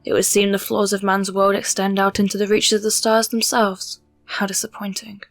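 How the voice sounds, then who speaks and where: Noble warrior princess, female actor: medium pitch, solemn, stern, formally spoken, fan audio drama. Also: monotone, moderate pace, no echo, faint music behind, close to an everyday voice. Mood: grave disdain.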